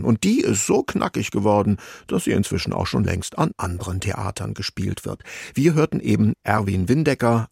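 A man presenting a scripted radio music programme as its host, with a gravelly, husky voice. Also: fairly low pitch, a little mellow, no room sound, studio quality.

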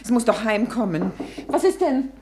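A woman's voice: on the high side, sing-song, normal speed, noisy background. A woman playing an anxious, frayed wife and mother in a radio play, speaking with strained urgency. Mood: defensive, worried. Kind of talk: German radio play acting.

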